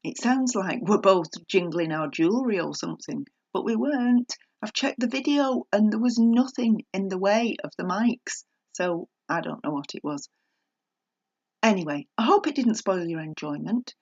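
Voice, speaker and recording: A woman's voice: medium pitch, animated, normal speed, darkish tone, dull audio. A middle-aged woman hosting a podcast, speaking in a chatty presenting style. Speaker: podcast host.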